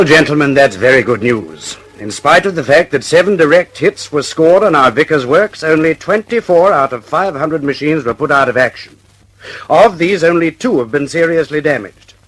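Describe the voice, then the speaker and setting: A knighted British factory chief in a mid-century radio drama. He is middle-aged, formal and pleased with himself. The sound is dry, with a brisk, genial delivery. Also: on the high side, fairly bright tone, no room sound, rough recording. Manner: formal, brisk, self-satisfied.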